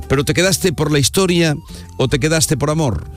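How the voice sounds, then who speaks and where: Male interviewer, slightly high. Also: expressive, soft background music. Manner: quick prompting questions, finishes the guest's sentences. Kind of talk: casual interview chat.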